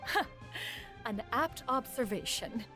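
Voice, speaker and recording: A proud immortal mentor woman in a game. Her voice is fairly high and swoops expressively. She speaks with pompous, archaic formality and a doting streak, over soft music. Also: lively intonation, slow pace, exaggerated voice. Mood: stately, caring. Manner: pompous, archaic, calls herself 'one'. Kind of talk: video game voice acting.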